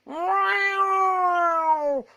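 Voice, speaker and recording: A loud storybook cat, acted out by a woman, caterwauling in high, drawn-out yowls. It wails like a stranded tomcat in a picture-book tale. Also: steady tone, full character voice. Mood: wailing. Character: loud yowling storybook cat stuck up a tree.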